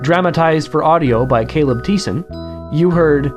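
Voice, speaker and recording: Announcer reading credits over music. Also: male voice, on the high side, normal speed, dull audio.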